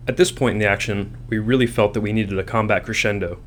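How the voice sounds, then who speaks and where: A man's voice: fairly low pitch, steady tone, fast talker, a little hiss or hum. A man reading scripted commentary as a game developer.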